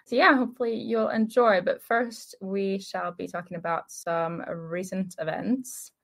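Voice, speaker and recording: A woman's voice: medium pitch, steady tone, a little slow, vocal fry. A woman in her twenties hosting a casual fan chat podcast. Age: 20s. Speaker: podcast host.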